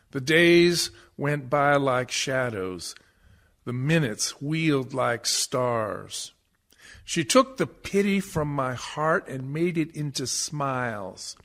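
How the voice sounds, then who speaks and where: Man playing a very slow, haunted husband. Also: medium pitch, a bit bright. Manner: deliberate, brooding. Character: haunted, tormented husband, guilt-ridden and fearful. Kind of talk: dramatic verse monologue for radio play.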